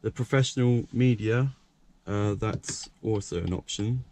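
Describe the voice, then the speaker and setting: A young man with a croaky voice, talking casually and rambling with lots of fillers while he films himself showing off his car. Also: fairly low pitch, measured pace, darkish tone, a little hiss or hum. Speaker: car vlogger. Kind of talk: casual point-of-view car walkaround. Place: parked car by a country lane, outdoors and inside the car. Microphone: action camera held by hand.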